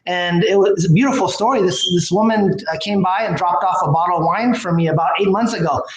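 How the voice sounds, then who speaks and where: High-voiced man rambling in an echoey room. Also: a little fast. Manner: enthusiastic, rambling with many fillers and restarts. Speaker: company president and CEO, guest. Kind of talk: casual interview talk. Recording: roomy with faint background noise.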